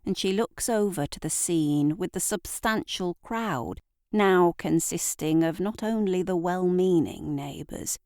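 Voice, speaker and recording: A woman giving a measured, deliberate, brooding narration of a scripted literary audio drama. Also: low voice, gravelly, mellow, no echo, clean studio sound.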